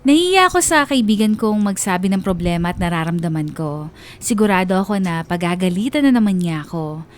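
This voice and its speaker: A woman's voice: on the low side, animated, fairly quick, a little hiss or hum, natural voice. An actress playing a devoted, wistful wife of thirty-four who narrates her own story in a radio drama. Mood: wistful, troubled. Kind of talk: dramatized first-person letter narration. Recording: music bed behind the voice.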